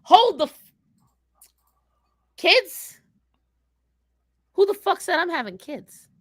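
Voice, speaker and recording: Woman playing a bright, brash, sardonic young woman. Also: extremely high pitch, sing-song, takes it slowly, dry recording, studio quality, full character voice. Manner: blunt, sarcastic, foul-mouthed. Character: brash, sardonic twenty-two-year-old woman who dislikes kids and animals. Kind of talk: improvised in-character question and answer.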